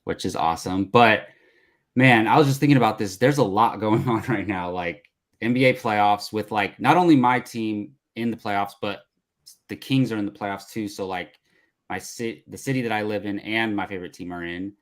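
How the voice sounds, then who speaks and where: A man's voice: on the low side, normal speed. A man chatting casually as a movie show panelist, easygoing and informal. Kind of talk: casual chat.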